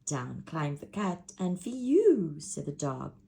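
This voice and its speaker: A woman reading a rhyming picture book aloud with an expressive, rhythmic delivery. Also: low voice, unhurried, creaky. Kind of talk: storybook read-aloud.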